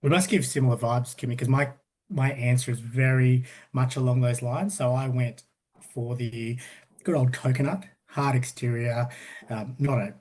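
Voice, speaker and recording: A relaxed man hosts a podcast chat. His voice is a bit husky, and he talks in an easygoing way at a middle pitch. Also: moderate pace. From Australia. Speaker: podcast host. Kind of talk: casual chat.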